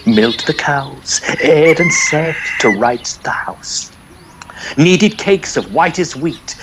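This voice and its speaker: Slow, expressive male poetry narrator. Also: mid-range voice, noisy background. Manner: measured, expressive storytelling. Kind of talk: dramatic narration of a poem.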